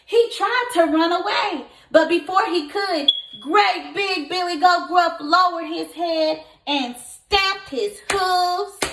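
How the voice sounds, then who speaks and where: An echoey room carries a woman's slow, very animated read-aloud. She is a kindergarten teacher, expressive and encouraging as she tells the story and asks her young listeners questions. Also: very high-pitched.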